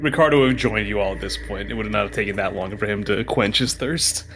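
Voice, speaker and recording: A man's voice: on the low side, quick pace, noisy background. A man chatting casually as a player in a tabletop roleplaying game.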